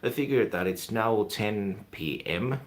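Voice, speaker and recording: A man from Finland with a Finnish accent, hosting his own live stream and rambling casually, often trailing off quietly. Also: on the low side, expressive, unhurried. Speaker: YouTube channel host.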